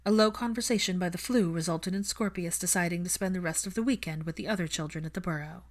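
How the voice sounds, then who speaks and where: A woman's voice: lowish voice, fast talker. A woman reading fiction aloud as an audiobook narrator, in a scripted storytelling style.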